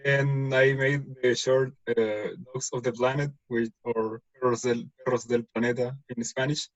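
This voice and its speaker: A man from Chile, an animator speaking softly and hesitantly on a video call. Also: medium pitch, steady tone, moderate pace, no echo. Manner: soft, hesitant. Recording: dry, muffled call audio.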